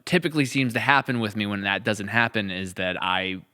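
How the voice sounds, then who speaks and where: A man chats reflectively on a podcast as co-host. His voice sits fairly low yet sounds bright and very clean. He tends to restate a phrase before moving on. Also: a little fast, clear, resonant tone. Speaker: podcast co-host, writer and series creator. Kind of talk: casual podcast discussion.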